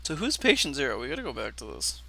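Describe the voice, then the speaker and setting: A man's voice: huge pitch swings, quick pace, soft background music. A man hosting a casual reaction video, reading aloud and commenting, often stumbling over words, repeating phrases and swearing. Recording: clearly noisy, loud background rumble.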